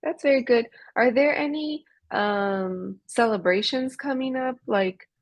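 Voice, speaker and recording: A woman's voice: on the high side, unhurried, no echo. American woman tutor, dry close sound. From USA. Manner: friendly, asks questions, laughs often. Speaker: English tutor. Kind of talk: casual conversation practice. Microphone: video call.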